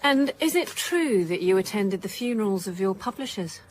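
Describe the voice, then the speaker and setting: A woman's voice: mid-range voice, lively intonation, moderate pace, close to an everyday voice. A woman playing a police detective inspector in a radio drama, direct, probing and tactful, speaking in a matter-of-fact way. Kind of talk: naturalistic radio drama dialogue. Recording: clear background noise, vehicle ambience.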